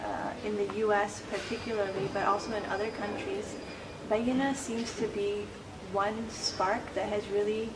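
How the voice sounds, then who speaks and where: A young woman answers interview questions in a thoughtful, unhurried way, often pausing to gather her words. Her tone stays flat and level throughout. She sounds set back from the microphone, with a clear wash of background noise. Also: mid-range voice, recorded from a distance. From born in Canada, raised in the United States. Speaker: interview guest, Quran instructor. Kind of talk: conversational interview.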